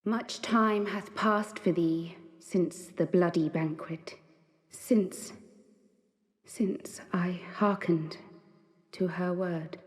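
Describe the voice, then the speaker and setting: An actress playing a serene, solemn emissary of a goddess in a fantasy game, speaking in stately, archaic, halting phrases. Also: female voice, fairly low pitch, fairly even intonation. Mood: solemn, sorrowful. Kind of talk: fantasy video game cutscene acting. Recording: long cavernous reverb.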